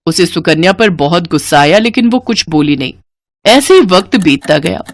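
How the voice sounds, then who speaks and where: A woman narrating a scripted moral bedtime story in an expressive storytelling manner. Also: lowish voice, moderate pace, no room sound, clean studio sound.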